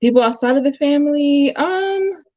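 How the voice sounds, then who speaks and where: A woman's voice: high voice, animated, normal speed, mellow, no room sound, lo-fi sound. A young adult woman from the U.S. talking casually as a guest on a video call, thoughtful and full of fillers. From United States. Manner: reflective, many fillers and restarts. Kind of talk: casual conversation.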